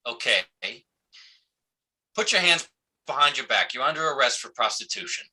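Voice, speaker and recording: A man's voice: medium pitch, expressive, normal speed, pushed and bright. A man acting a curt, commanding police officer in a naturalistic stage play reading. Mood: controlled, commanding.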